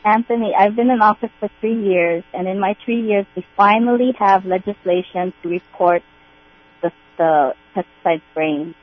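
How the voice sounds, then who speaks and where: A woman's voice: medium pitch, normal speed, phone-quality sound. A woman politician as a radio guest, replying to a caller in an earnest, placating way. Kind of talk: responding in a call-in discussion.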